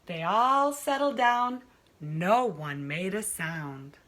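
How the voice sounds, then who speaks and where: Female kindergarten teacher, storybook read-aloud, very bright tone, unhurried pace. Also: mid-range voice, lively intonation. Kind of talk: children's storybook narration.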